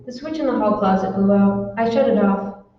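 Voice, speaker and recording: A woman's voice: mid-range voice, moderate pace, close to an everyday voice. A woman playing a practical, puzzled housewife in an old-time radio drama. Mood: brisk, domestic. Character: practical, puzzled housewife humoring her worried husband. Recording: echoey hall, long reverb, distant, dull.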